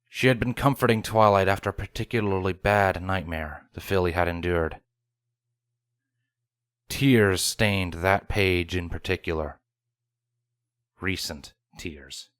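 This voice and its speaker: A man's voice: fairly low pitch, fairly bright tone, smooth, dry recording. A man reading a scripted story aloud as its narrator. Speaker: fan fiction reader.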